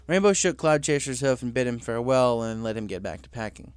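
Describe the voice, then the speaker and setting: A man's voice: medium pitch, animated, a little fast, clear, resonant tone, dry recording. A man reading scripted fiction aloud as a story narrator.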